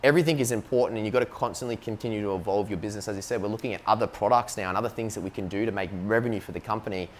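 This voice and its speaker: A man's voice: lowish voice, rapid-fire, hardly any pauses, slight background noise. An Australian man, a business co-founder, talking casually in an interview and filling his speech with "like" and "you know what I mean". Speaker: company co-founder, guest.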